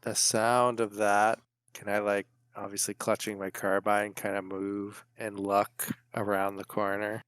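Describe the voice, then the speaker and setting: Man, lowish voice, little pitch movement, dry sound, casual tentative player talk. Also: level tone, measured pace, a bit bright, dry recording. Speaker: player in a tabletop game. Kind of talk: casual game-table talk.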